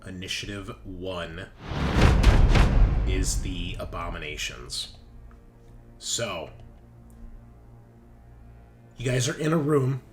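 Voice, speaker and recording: A man running a tabletop game as game master, casually narrating and thinking aloud with informal, sweary phrasing. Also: low-pitched. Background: game background music.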